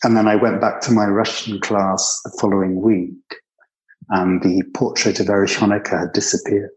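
Low-voiced older British man reminiscing. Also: level tone, normal speed, poor audio. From Britain. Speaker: interview guest recalling his years living abroad. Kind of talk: conversational personal reminiscence.

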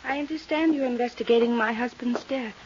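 An actress playing a haunted, unstable young widow with deliberate, intense delivery and loaded pauses in a 1950s radio drama. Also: female voice, a little high, a little mellow, natural voice. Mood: brittle, intense. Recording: muffled, hissy vintage radio recording.

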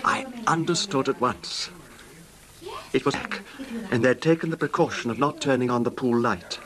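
An actor playing an ailing, betrayed husband who recounts his grievance in halting, formal radio drama style. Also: medium pitch, crowd noise, close to an everyday voice. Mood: pained, brooding. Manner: halting, formal, genteel delivery. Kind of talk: old-time radio drama acting, narrated recollection. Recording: noisy old radio drama recording.

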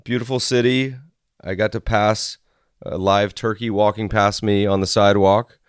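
A hoarse man hosting a podcast, chatting casually with rambling asides. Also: on the low side, fairly even intonation, moderate pace, no echo, clean studio sound. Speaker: podcast host. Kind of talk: casual interview chat.

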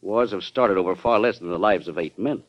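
Actor as quick-talking anxious statesman, radio drama. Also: low voice, no echo, natural voice. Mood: grave, blunt. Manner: formal, urgent, respectful. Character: anxious, blunt, deferential Secretary of State. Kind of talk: classic radio drama acting. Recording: dull, dry old radio recording.

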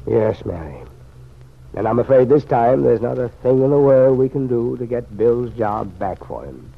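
An actor playing a grave, regretful man in an old-time radio serial, speaking in an earnest, measured way. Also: mid-range voice, animated, creaky. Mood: somber. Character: grave, regretful man, troubled family friend. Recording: muffled, noisy old radio recording.

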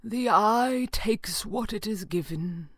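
A woman voicing a grave man in a pulp adventure audiobook, solemnly and desperately warning of ancient doom in drawn-out phrases. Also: on the low side, expressive, no echo, clean studio sound. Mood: sad, accusing.

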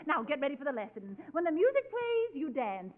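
A woman's voice: sits high, sing-song, fairly quick, a crowd in the background. An actress playing a gushing, flirty, money-grabbing lady dance teacher in a theatrical 1940s radio comedy style. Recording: tinny, crackly 1940s radio recording.

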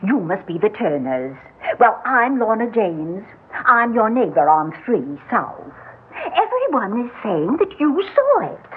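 A woman's voice: a little high, huge pitch swings, measured pace, slightly rough, exaggerated voice. An actress in an old-time radio drama playing a nosy, gushing, chatty middle-aged neighbor woman. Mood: gossipy, fluttery. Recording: tinny, muffled vintage radio recording.

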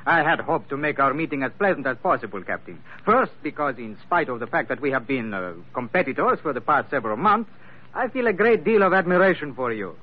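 An actor playing a suave, courteous villain with formal, hesitant politeness in an old radio drama. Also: male voice, mid-range voice, big pitch swings, fairly quick, lightly acted. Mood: suavely courteous. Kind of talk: 1940s radio acting. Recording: tinny, noisy old radio recording.

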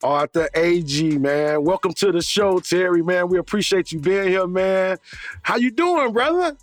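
Laid-back high-voiced male co-host. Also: animated, normal speed, no echo, faint music behind. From Chicago, US. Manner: laid-back, peppers speech with "man". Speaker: podcast co-host. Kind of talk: casual podcast chat.

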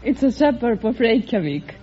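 A woman's voice: a little high, sing-song, moderate pace, background noise. A woman from Iceland answering a quiz host with short, plain, earnest replies. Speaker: contestant. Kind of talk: quiz show interview answers. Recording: dull old radio broadcast with audience.

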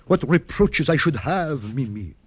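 An actor playing a gushing, scheming lover, in melodramatic radio drama style. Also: male voice, a little high, animated, unhurried, dry recording. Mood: lovesick, swooning. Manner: gushing, swooning, oily. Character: melodramatic, cloying, scheming French male lover. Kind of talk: old-time radio drama acting.

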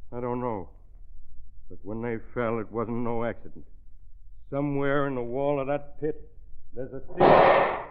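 Fearful folksy actor's cook on old radio. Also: male voice, mid-range voice, fairly even intonation, measured pace, long pauses, slight background noise, lightly acted. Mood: reluctant. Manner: folksy, hesitant, ungrammatical. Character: fearful, downtrodden working-class male camp cook. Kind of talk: old-time radio crime drama acting. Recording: muffled, narrow-band old radio recording.

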